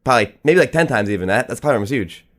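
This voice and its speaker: A man's voice: medium pitch, expressive, rapid-fire, fairly bright tone. A man, chatting casually as a podcast host in slangy speech full of "like". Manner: slangy, heavy use of "like". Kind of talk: casual chat.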